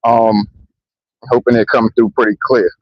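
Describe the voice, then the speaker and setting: A man's voice: medium pitch, fairly even intonation, slow, dry recording, lo-fi sound. A man talking into a handheld mic outdoors in public, in a loose, rambling and profane monologue that keeps circling back with "you know what I mean.". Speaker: vlogger. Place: outdoors in a public area near trains.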